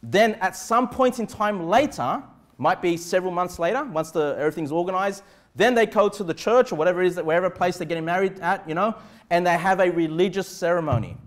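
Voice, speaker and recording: A man's voice: sits high, a little fast. A middle-aged Australian man preaching a sermon as a pastor, emphatic yet conversational, often checking that listeners follow. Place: indoor church pulpit in a small room. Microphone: clip-on lapel mic.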